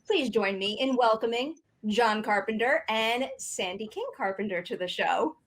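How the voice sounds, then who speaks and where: A woman's voice: a little high, normal speed. A woman moderating a panel, interviewing guests in an upbeat style.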